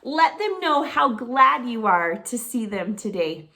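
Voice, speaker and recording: A middle-aged woman teaching preschoolers, talking to small children in an animated, sing-song teacher voice. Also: on the high side, slow. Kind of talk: children's circle-time lesson to camera.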